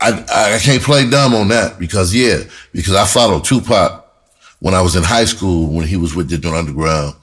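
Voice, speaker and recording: Deep-voiced man with a husky edge. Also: fairly quick. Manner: animated, profane, slangy, repeats himself for emphasis; breaks into laughter often while talking. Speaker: screenwriter and performer telling his own story. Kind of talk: casual storytelling chat.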